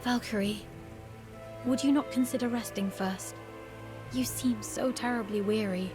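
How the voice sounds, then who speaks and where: Casting: actress as gentle female ally, fairly high voice, refined polite phrasing, soft music underneath. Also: unhurried, natural voice. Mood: gentle concern. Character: gentle, courteous, caring female companion. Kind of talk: fantasy video game acting.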